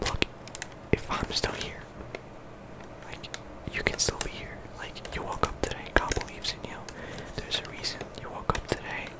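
Soft-spoken casual whisperer. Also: normal speed, rough recording. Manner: casual slangy whispering, fillers; earnest encouragement. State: sick. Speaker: ASMR creator. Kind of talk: whispered ASMR ramble.

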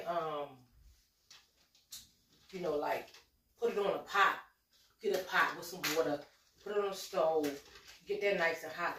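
A woman in her forties chats her way through a home cooking vlog. Her voice is on the low side and a little nasal from a cold. She is loose and folksy, and her voice carries a roomy kitchen echo from a camera set a few steps away, with a faint hiss underneath. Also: distant mic. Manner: chatty, folksy, talks to viewers as y'all. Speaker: cooking vlogger.

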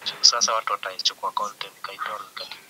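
A man's voice: on the low side, steady tone, racing, pushed and bright, a little hiss or hum. A young man with a Kenyan accent, chatting casually as a guest over a phone call. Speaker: upcoming musician, phone guest.

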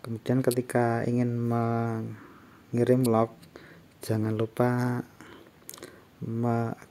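A man gives a casual step-by-step software tutorial, explaining in a halting way. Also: medium pitch. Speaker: tutorial presenter. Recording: faint background noise.

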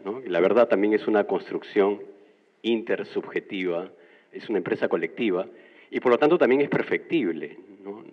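Peruvian man asking a long academic question. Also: fairly low pitch. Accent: Peruvian Spanish. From Peru. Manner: articulate, academic, long-winded. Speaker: audience member asking a question. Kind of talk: formal question from the floor. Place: university classroom. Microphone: handheld mic, standing. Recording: muffled, roomy lecture hall.

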